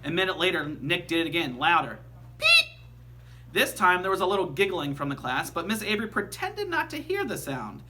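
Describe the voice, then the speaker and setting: A man reading a children's story aloud like a teacher, with animated, emphatic storytelling. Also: high voice, fast, few pauses, noisy background.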